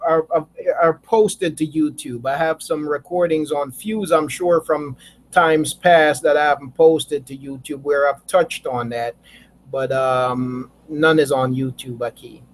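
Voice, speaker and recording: Male teacher, slightly high voice, easygoing and repetitive, call audio. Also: steady tone, a little slow, slight background noise. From Jamaica. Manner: warm, repeats words, laughs often. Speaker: teacher leading an online study group. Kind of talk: casual religious group chat.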